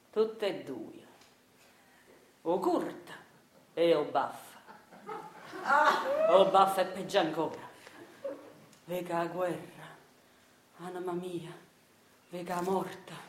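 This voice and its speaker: Low, entranced Neapolitan washerwoman prophetess. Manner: slow, incantatory lament. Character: fierce washerwoman prophetess in delirious, mournful trance. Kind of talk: theatrical radio monologue. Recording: roomy sound with faint noise.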